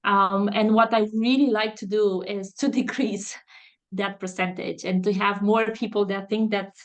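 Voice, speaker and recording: A woman's voice: mid-range voice, steady tone, moderate pace. A woman from Romania, a foresight consultant, speaking as a podcast guest in careful, hesitant English with many fillers. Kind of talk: podcast interview, video call.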